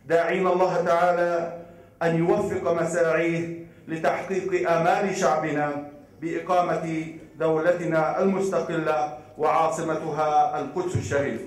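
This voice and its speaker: A man moderating a conference, reciting formal verse and joking with the audience from the podium. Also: a little high. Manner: jovial, jokes with audience. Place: large conference hall. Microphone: podium microphone from far off. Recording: echoey hall, distant mic.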